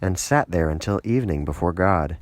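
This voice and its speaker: Low-voiced man reading scripture. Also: animated, fairly quick. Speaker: scripture reader. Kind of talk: scripted Bible narration.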